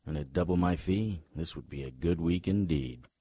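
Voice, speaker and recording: An actor playing a sardonic, tough-talking private detective in a noir radio drama. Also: male voice, low voice, normal speed, mellow, breathy edge. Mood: wry, world-weary. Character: hard-boiled male private detective, sardonic and threatening.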